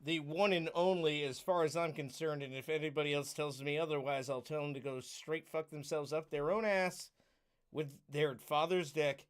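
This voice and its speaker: A man's voice: on the high side, steady tone, moderate pace, clean tone. A slightly drunk man hosting a podcast, crude and boisterous, introducing his co-host like a showman. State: a bit drunk. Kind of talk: casual chat.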